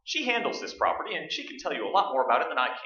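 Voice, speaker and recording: Jaunty high-pitched radio ghost hunter played by a man. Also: very animated, very fast, bright, forceful tone. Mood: breezy. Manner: showy, breezy announcer patter. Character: jaunty, chatty male radio ghost-hunting host. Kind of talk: staged radio play acting. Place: indoor stage, live performance. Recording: roomy, distant, dull stage recording.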